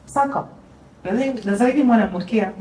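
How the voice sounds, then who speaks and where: A woman's voice: fairly high pitch, fairly quick, a little hiss or hum. Earnest woman guest, very echoey room. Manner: earnest, reflective, drops to a soft level at phrase ends. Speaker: guest, television journalist. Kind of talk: motivational interview talk. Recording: reverberant room, distant mic.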